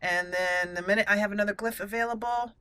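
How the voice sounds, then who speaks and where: A woman's voice: medium pitch, steady tone, fast talker, bright, forceful tone, a little hiss or hum. A woman hosting a gaming channel, giving casual play-by-play and thinking aloud as she narrates each move. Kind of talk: casual gameplay commentary. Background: game music.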